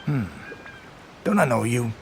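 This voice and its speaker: A sleepy, unbothered soldier from an anime game, drawling slowly in a medium pitch. The languid voice rises and dips over faint ambient noise. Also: male voice, big pitch swings, gravelly. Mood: sleepy, content. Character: laid-back, drowsy male soldier loner.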